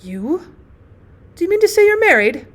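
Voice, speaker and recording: A woman voicing a welcoming, amused gentleman passenger in an audiobook, hearty and exclamatory. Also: extremely high pitch, sing-song, normal speed, pitched above the performer's own voice, exaggerated voice. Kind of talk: acted audiobook storytelling.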